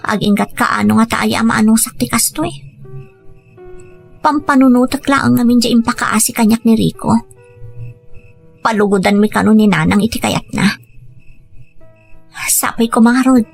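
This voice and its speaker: A woman playing a female family member in an audio drama speaks at an ordinary middle pitch. Soft music runs beneath her lines. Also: creaky, breathy edge. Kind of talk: Ilocano audio drama acting. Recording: music under the voice.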